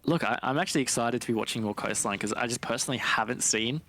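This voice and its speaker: A man's voice: medium pitch, fast talker, bright, forceful tone, a little hiss or hum. A man giving colloquial, conversational esports commentary and analysis of live play. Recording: faint game gunfire behind voice. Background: video game gunfire.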